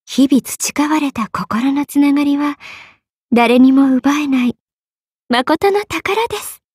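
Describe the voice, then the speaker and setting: A woman's voice: high-pitched, slow, a little mellow, no echo, clean studio sound. A woman voicing a playful, money-loving young half-spirit merchant sorceress in an anime game, with a teasing, polite lilt. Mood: sincere, tender. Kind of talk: Japanese anime game character voice acting.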